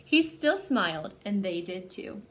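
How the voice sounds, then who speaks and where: A woman hosting a library storytime, speaking to young children in an animated way as she presents and reads a picture book aloud. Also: mid-range voice, a little slow.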